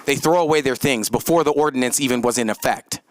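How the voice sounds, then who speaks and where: A man's voice: medium pitch, fast. An American man giving an impassioned public comment as an activist, speaking emphatically and repeating phrases for weight. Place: city commission meeting room.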